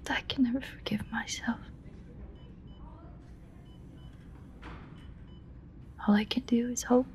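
A woman playing an obsessive, guilt-ridden yandere lover in a whispered audio roleplay, halting, breathy, intimate and pleading. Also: a little high, a little mellow, slight background noise, lightly acted. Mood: guilty, anguished. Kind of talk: whispered dramatic audio roleplay addressed to a listener.

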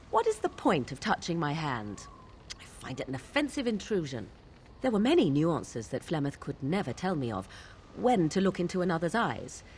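A woman's voice: low voice, a little mellow, a little hiss or hum. A woman playing a proud, sardonic young witch in a video game, speaking in formal, archaic, disdainful phrases. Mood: disdainful, musing. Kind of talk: video game dialogue acting.

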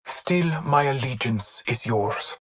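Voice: mid-range voice, expressive, slow, slight background noise. A performer playing a solemn, stoic, devout hunter in a video game, speaking in a grave, formal manner. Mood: grave sorrow.

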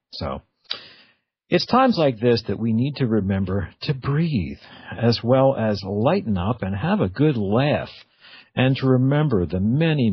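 A man's voice: fairly low pitch, slightly rough. A man from the United States hosting a radio show, introducing and interviewing a guest. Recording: slightly dull, lo-fi audio.

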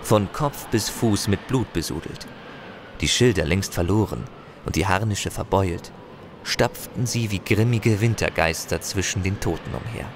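Male actor as saga chronicler, low voice, solemn dramatic narration, audio drama, clear background ambience. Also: measured pace, background noise. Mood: grave, solemn. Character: chronicler telling a grim saga of warriors. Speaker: storyteller narrator.

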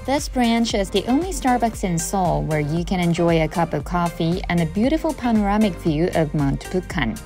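A woman narrating a travel video in a calm, scripted voice-over. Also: fairly low pitch, moderate pace, few pauses. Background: background music.

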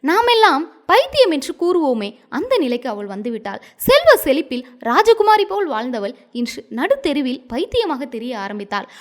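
A woman storyteller narrating a scripted moral tale in a dramatic, expressive manner. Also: high-pitched, normal speed, few pauses.

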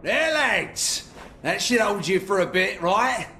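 Wry, lively British man, highish voice. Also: slow, vocal fry, slight background noise, heavily acted. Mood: wry, placating. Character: jovial male leader placating his lads, wry. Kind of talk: video game drama acting.

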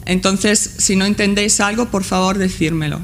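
A woman's voice: mid-range voice, normal speed, a little hiss or hum. Italian woman teaching with frequent fillers. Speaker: course instructor. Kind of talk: tutorial presentation. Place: conference room, seated at panel table. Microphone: table microphone.

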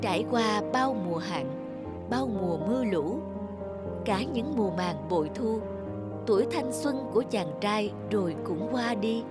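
A woman's voice: medium pitch, animated, a little slow, loud music behind the voice. A woman narrator delivering scripted storybook narration for a cartoon folk tale.

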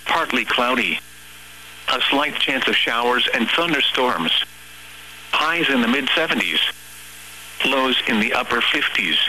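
Robot-sounding guy reading the weather forecast on radio, crisp formal delivery, bit of hum and static. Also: medium pitch, sharp tone, low-quality recording. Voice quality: synthetic text-to-speech voice. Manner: measured, formal, impersonal reading. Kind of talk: scripted weather forecast and observations.

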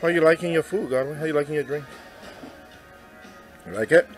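A man casually narrating a family vlog at an outdoor cafe, relaxed and repetitive, filming on a handheld camera. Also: a little high, fairly quick, faint music behind, low-quality recording. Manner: easygoing, repeats himself, plugs the place. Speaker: vlogger.

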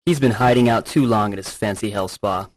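A man's voice: fairly low pitch, fairly quick, natural voice. A man acting a tough, cynical militant rebel in a radio drama, blunt and scornful.

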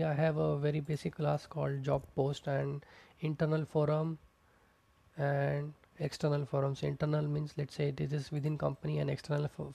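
Male tutor walking through code on a screen recording; rough, gravelly tone with a dry, close sound. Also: fairly high pitch, dark tone, no echo. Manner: explains step by step, repeats terms, many fillers. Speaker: programming instructor. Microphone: close mic, voice-over on screen recording.

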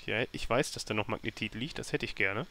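A man's voice: lowish voice, fairly quick, creaky, bright, forceful tone. A man giving casual, thinking-aloud commentary on a game as a let's player, often grumbling and sarcastic. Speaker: let's player hosting a gameplay series.

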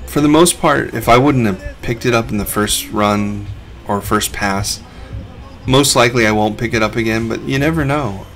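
A man's voice: mid-range voice, normal speed, fairly bright tone. A middle-aged man, a reseller who hosts a vlog, narrating over his footage in a laid-back, conversational way.

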